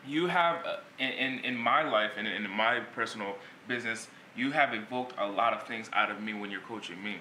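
A man's voice: fairly low pitch, moderate pace, hardly any pauses, pushed and bright. A man hosting an interview show, asking eager, affirming questions. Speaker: show host. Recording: echoey room with faint ambient noise.

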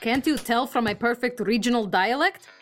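A woman playing a warm, burly parts dealer with an Eastern European accent, pushy and effusive in a sci-fi comedy audio drama. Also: fairly high pitch, moderate pace, soft background music, lightly acted. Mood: brisk hard sell. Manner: hard-selling, effusive, clipped foreign grammar.

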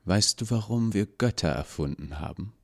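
A man reading an audiobook, voicing a calm, cynical male leader who speaks slowly. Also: on the low side, gravelly, no room sound, clean studio sound.

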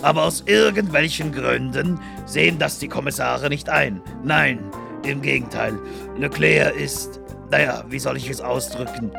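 A man's voice: mid-range voice, measured pace, creaky. A man narrating a comedic crime audio drama as a bumbling, hesitant storyteller. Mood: hesitant, awkward. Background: music.

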